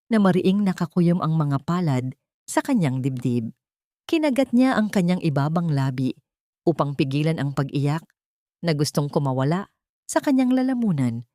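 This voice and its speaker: Filipina woman reading a dramatic audio story, lowish voice, solemn n suspenseful, very dry sound. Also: darkish tone, dry recording. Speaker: storyteller narrator.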